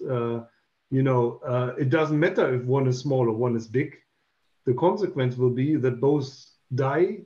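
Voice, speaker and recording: A man in his fifties, an English speaker, explaining carefully as a panel guest on a video call and pausing on many "uh" fillers. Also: mid-range voice, darkish tone. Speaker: panel guest, space safety expert. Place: home room, indoors. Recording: dull lo-fi call audio.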